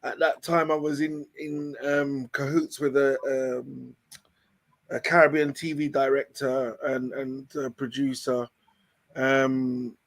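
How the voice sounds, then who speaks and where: A hoarse man with a British accent, a channel founder telling how it began in a rambling way, with many fillers. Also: mid-range voice, flat delivery, takes it slowly. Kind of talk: casual chat on a video call show.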